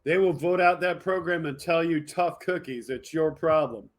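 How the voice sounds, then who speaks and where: A man with a somewhat high-pitched voice hosts a political video podcast. He speaks in an impassioned, emphatic monologue aimed straight at his viewers. His delivery moves between forceful outbursts and quieter asides. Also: normal speed. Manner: emphatic, fired up, addressing viewers directly. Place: indoor home studio with green screen.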